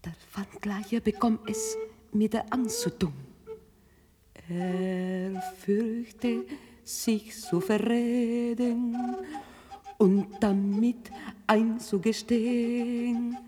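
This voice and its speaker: Slow, reverberant woman narrating a radio play. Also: a little high, steady tone, a crowd in the background. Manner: measured, deliberate phrasing with pauses. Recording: long reverb, music behind.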